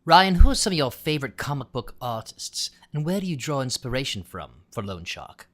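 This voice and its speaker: A man's voice: mid-range voice, very animated, brisk, fairly bright tone. A man working as an interviewer, putting prepared questions to his guests.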